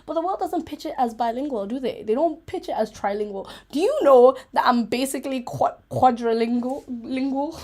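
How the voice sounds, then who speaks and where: A woman hosting a casual, rambling livestream chat, playful, giggly and self-mocking, with an animated, emphatic way of talking. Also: high-pitched, normal speed, few pauses. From Africa. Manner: animated, emphatic, repeating herself for effect. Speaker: host of a chat vlog.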